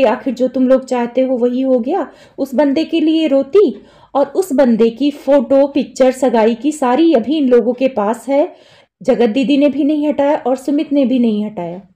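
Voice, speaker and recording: A woman's voice: sits high, mellow. An Indian woman giving casual online commentary, reasoning things through argumentatively and posing rhetorical questions as she explains. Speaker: YouTube commentator.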